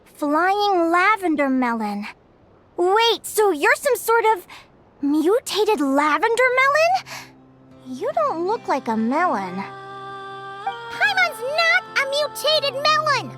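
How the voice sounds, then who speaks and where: A woman voicing a tiny, excitable floating fairy girl in an anime game, with a squeaky, childlike voice. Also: slow pace, full character voice. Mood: indignant. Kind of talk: anime game character acting. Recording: loud game music behind.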